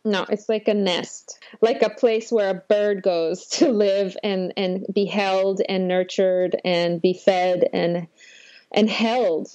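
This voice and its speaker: Mellow Polish-born woman, rambling story. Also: mid-range voice, fairly even intonation, slow, dry recording, muffled recording. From Poland. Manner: rambling, reflective, frequent "like". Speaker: guest. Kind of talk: personal life story in an interview.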